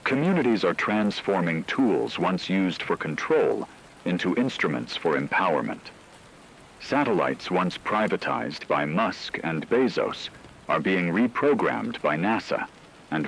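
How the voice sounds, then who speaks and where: A man acts as a male news anchor in a dystopian radio drama, very earnest and solemn. His low voice delivers scripted reports formally and with measured phrasing. A clear layer of rain-like noise runs along with it. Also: fairly bright tone, exaggerated voice, rough recording. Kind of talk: scripted news broadcast narration.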